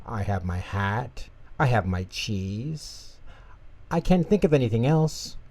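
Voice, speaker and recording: Male reader as small childlike mouse for a bedtime story: eager, anxious, lively swoops in pitch. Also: slow. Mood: excited.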